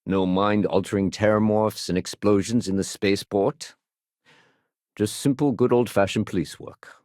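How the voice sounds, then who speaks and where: A man voicing a gruff, no-nonsense police sergeant in a video game, brusque, authoritative and plain-spoken. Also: fairly low pitch, fairly even intonation, moderate pace, a little mellow, no echo, clean studio sound, close to an everyday voice. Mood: wry.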